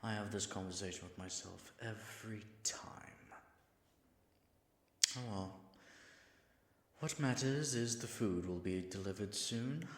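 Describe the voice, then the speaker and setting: Man playing a low, gravelly, wry dragon. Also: measured pace, long pauses, echoey room. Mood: musing. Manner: muttering to himself in asides, dry, sardonic. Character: all-powerful dragon in disguise, wry, self-conscious, jealous. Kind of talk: scripted audio roleplay monologue.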